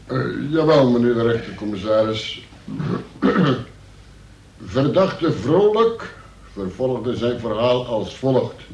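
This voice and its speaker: An actor playing an earnest police sergeant in a radio play, stiffly and formally reading out a statement in an echoey room, stumbling at times. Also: male voice, mid-range voice, slight background noise.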